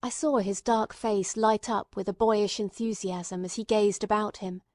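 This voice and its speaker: A woman's voice: mid-range voice, fairly even intonation, unhurried, darkish tone, dry recording, studio quality. A woman reading scripted literary narration as an audiobook narrator.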